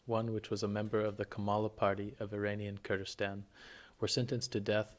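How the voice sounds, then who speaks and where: A man hosting a podcast, reading scripted background facts in a measured way. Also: on the low side, level tone. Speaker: podcast host. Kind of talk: scripted narration.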